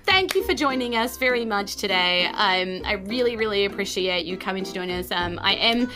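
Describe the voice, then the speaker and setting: A woman's voice: mid-range voice, expressive, moderate pace, pushed and bright, faint music behind. A woman with a New Zealand accent, game master and podcast host, narrating and addressing listeners. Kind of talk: game narration and scripted-casual show sign-off.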